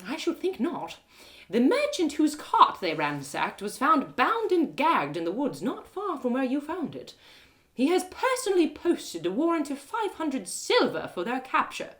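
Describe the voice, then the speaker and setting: A woman's voice: high-pitched, huge pitch swings, measured pace, pitched above the performer's own voice, full character voice. A woman voicing a genteel, authoritative noblewoman in a fantasy audio drama, speaking formally and with firm courtesy. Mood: stern, measured. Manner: formal, lofty, commanding.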